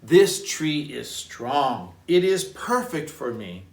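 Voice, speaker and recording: A man's voice: on the high side, sing-song, slow, full character voice. A man voicing matter-of-fact woodcutters in a storybook, plain and decisive. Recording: roomy echo, distant microphone.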